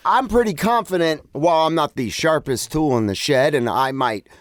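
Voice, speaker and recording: A man's voice: on the high side, animated, normal speed, no room sound, studio quality. A man in a casual podcast chat, speaking bluntly and informally with quoted asides. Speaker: podcast host or co-host.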